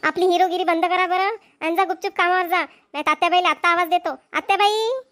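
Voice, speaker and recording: A woman's voice: very high voice, steady tone, brisk, fairly bright tone. A woman voicing a dutiful, bashful young village daughter-in-law in an amateur cartoon comedy, with a Varhadi Marathi accent. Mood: playfully scolding.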